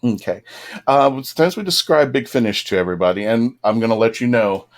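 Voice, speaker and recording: A man's voice: medium pitch, brisk. Casual man hosting a fan panel. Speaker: panel host.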